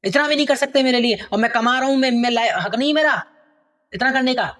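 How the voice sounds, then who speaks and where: A man puts on an angry, entitled young son who snaps at his parents in loud, indignant bursts. The voice sits very high and climbs higher when he rants, quick and heated. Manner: indignant, raised voice, rapid outbursts.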